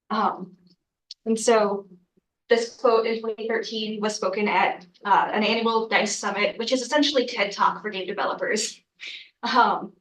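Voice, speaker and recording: A woman's voice: medium pitch, fairly bright tone, far from the mic. Congested young woman presenting in echoey room. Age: young adult. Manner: earnest, with frequent ums and uhs. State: congested from a cold. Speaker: student presenter. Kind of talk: academic research presentation. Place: lecture room.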